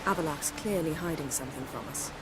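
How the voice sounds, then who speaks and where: A woman's voice: low-pitched, fast, lightly acted. A woman voicing a shrewd, imperious, distrustful sorceress in a video game, formal and pointed in manner. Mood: suspicious, pointed. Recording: loud outdoor ambient noise.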